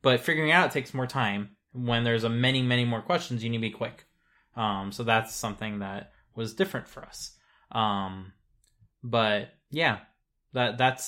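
Relaxed speaker. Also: medium pitch. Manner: relaxed, reflective, frequent ums and uhs. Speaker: programmer. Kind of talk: casual conversation.

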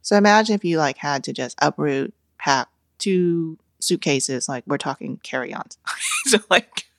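A woman in her forties from Vietnam with a low voice, recorded close and dry. She tells family stories in a lively way, laughs often and acts out what her relatives said. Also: unhurried, no room sound. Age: 40s. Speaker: guest. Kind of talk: casual storytelling interview.

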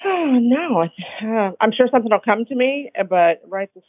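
A man's voice: very high voice, expressive, moderate pace, no room sound. A man co-hosting a podcast, chatting casually with short, agreeable replies. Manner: brief, agreeable responses. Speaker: podcast co-host. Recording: tinny phone-like line.

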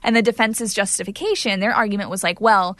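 A woman's voice: mid-range voice, fairly even intonation, fast, fairly bright tone, no echo. A woman reporter recounting a trial in a chatty way, with many fillers. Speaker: court reporter guest. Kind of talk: conversational interview answers.

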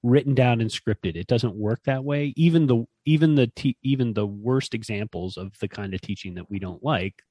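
A man's voice: mid-range voice, normal speed, hardly any pauses, warm tone, no room sound. A man co-hosting a casual conversation about teaching, thoughtful, often repeating words as he works out his point, with a dry sense of humor. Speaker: podcast co-host.

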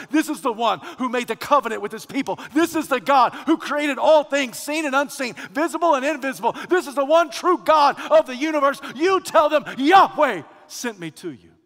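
A pastor with a high, bright voice preaches a sermon, climbing into shouted questions and sinking back to quiet emphasis. His voice carries a long reverb from a large church hall. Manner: builds to shouted rhetorical questions, then drops low.

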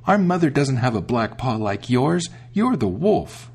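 A man voicing frightened young goat kids in a fairy tale, high and pleading. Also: very animated, unhurried, a little mellow, breathy edge, heavily acted.

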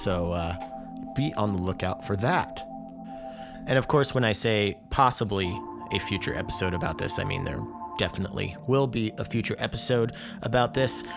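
A man's voice: on the low side, normal speed, vocal fry, music in the background. Rambling man hosting a podcast. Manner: rambling, filler-heavy, enthusiastic. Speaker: podcast host. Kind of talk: casual podcast intro and interview.

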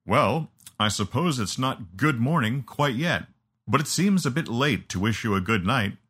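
A man voicing a huge, brash and genial warrior with a warm rumbling voice in an audiobook, courteous but needling. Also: animated, unhurried, breathy edge. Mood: amused, genial. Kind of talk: audiobook character dialogue.

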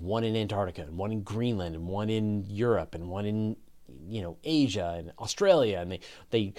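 A man's voice: on the low side, a little mellow. Canadian guy hosting a live q&a, explains stuff step by step, chatty. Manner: conversational, explanatory, builds ideas step by step. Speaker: host of a space podcast. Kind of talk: livestream Q&A, explaining astronomy to viewers.

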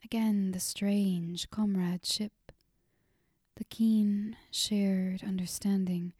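Softly whispering woman narrator. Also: medium pitch, fairly even intonation, slow pace, no echo, studio quality. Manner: soft, breathy whisper. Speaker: audiobook narrator.

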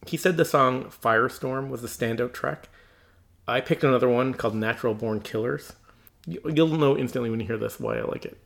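A man's voice: medium pitch, a little fast, creaky, slight background noise. A man co-hosting a podcast, chatting loosely about music with plenty of fillers and restarts. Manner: loose and rambling, many fillers. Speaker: podcast co-host. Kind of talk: casual chat about heavy metal.

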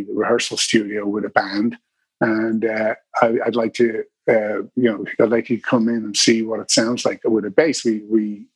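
A middle-aged Irish man, a bass player guest, telling stories casually in an interview with many restarts and fillers. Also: slightly rough, no echo, poor audio. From Ireland.

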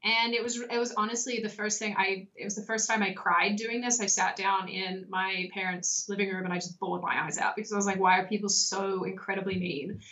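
A woman's voice: medium pitch, steady tone, fairly quick, hardly any pauses, sharp tone. A young woman with an Australian accent, a guest telling stories in a casual interview, with many likes and ums. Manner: chatty storyteller, frequent likes and ums. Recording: slightly dull lo-fi audio.

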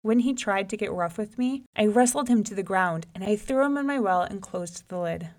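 A woman's voice: mid-range voice, moderate pace, darkish tone, natural voice. A woman voicing a wily male trickster rabbit in a storybook tale, pleading and coaxing. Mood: cocky. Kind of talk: storybook character voice.

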